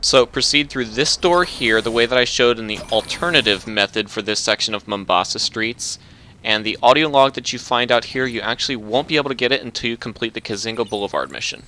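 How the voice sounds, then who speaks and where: A man narrating a video game walkthrough in a relaxed, instructive, matter-of-fact way. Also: mid-range voice, a little fast. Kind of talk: casual gameplay commentary.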